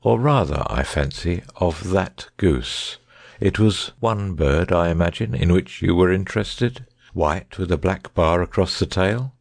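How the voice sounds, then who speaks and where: A man voicing a suave, assured gentleman detective in an audiobook story, speaking with bland, precise courtesy. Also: deep voice, unhurried, creaky, no echo, studio quality, exaggerated voice. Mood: cheery, teasing.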